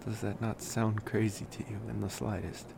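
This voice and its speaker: Male actor as affectionate college vampire for ASMR roleplay: low, creaky, soft-spoken, hesitant, wistful, over clear background noise. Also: flat delivery. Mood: tender, curious. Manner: hesitant, rambling, soft-spoken with pauses and sighs. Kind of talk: ASMR roleplay, intimate personal attention.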